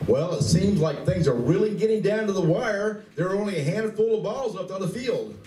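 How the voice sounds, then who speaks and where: A man's voice: high voice, expressive, a little fast, dark tone. A man playing a cheerful game coordinator in a radio play, announcing and giving play-by-play. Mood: commentating. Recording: distant, echoey room with faint noise.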